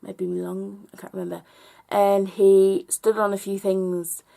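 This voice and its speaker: A woman records a personal vlog, talking straight to her audience in a reflective, earnest way. Her voice is slightly hoarse, with plenty of vocal fry and a gravelly edge. She speaks at an ordinary, mid-range pitch. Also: flat delivery, a little slow, mellow. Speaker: vlogger.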